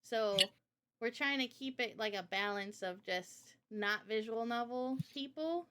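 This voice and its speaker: Woman with a highish really bright voice, casual opinionated chat, informal. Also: measured pace, smooth. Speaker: host and game developer.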